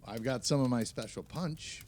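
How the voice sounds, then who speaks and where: An actor playing a jovial, enthusiastic husband hosting a holiday party in an audio play, hearty and exclamatory. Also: male voice, mid-range voice, moderate pace, darkish tone, slight background noise, natural voice.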